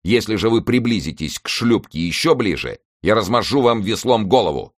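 A cutting, scornful musketeer snaps out threats and sarcasm, as a male narrator plays him. His voice lifts above the reader's usual low tone and swings widely. The sound is dry and close. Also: big pitch swings, normal speed, dry recording. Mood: stern scorn. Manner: sharp, sarcastic. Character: bold sharp-tongued young musketeer, scornful.

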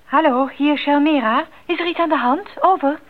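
A woman's voice: high voice, moderate pace. A woman playing a caring, anxious radio operator at an outpost in a radio play, speaking earnestly in procedure talk. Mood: concerned, efficient. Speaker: radio drama actor. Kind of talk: radio drama acting. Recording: tinny, phone-like radio drama sound.

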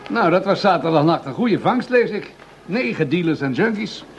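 An actor playing a pragmatic, patronizing senior police commissioner in a radio drama, dismissive and mildly impatient. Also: male voice, high voice, expressive, moderate pace, slight background noise. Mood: casual, wry. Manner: dismissive, patronizing, hesitating with "nou". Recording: slightly dull, lo-fi radio recording.

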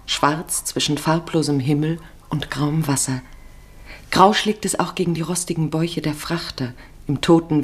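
Low-voiced woman in 1950s radio narration. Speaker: narrator of a radio travel feature.

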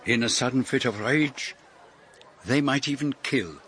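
A man's voice: medium pitch, measured pace, fairly bright tone, lightly acted. A man playing a courteous foreign detective in a radio drama, formal and politely probing as he questions people and narrates his case.

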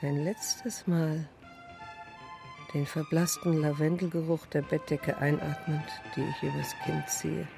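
An actress playing a melancholic woman of fifty in a dramatic radio monologue, clinging to youth yet resigned, with drawn-out, expressive phrasing. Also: low voice, gravelly, music in the background. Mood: sinking, resigned.

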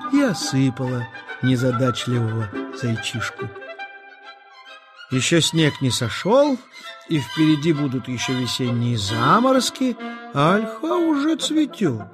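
A man narrating a scripted children's story in a gentle, expressive storyteller's manner. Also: a little high, measured pace, music in the background.

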